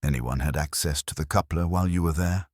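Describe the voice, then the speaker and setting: A man voicing a practical, courteous police inspector in a detective story, asking questions in a brisk, dry manner. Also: very deep voice, a little mellow, no room sound. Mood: probing.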